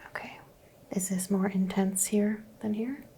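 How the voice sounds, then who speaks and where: A woman whispering gently as a doctor in an ASMR roleplay, guiding a patient through a skin exam. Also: medium pitch, steady tone, measured pace, slight background noise. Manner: soft whispering, gentle step-by-step instructions. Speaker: ASMR creator playing a doctor.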